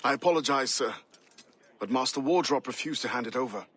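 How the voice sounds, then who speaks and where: A man's voice: mid-range voice, fairly quick, a bit bright, husky. An actor playing an apologetic, deferential male military captain in a video game. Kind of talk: dramatic video game acting.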